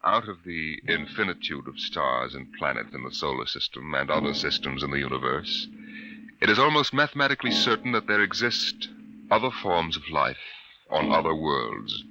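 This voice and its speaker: Deep, swooping 1950s radio announcer. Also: male voice, big pitch swings, highs cut off, noisy background, exaggerated voice. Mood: portentous. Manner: dramatic, portentous, deliberate. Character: grave, portentous male science fiction series announcer. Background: dramatic music.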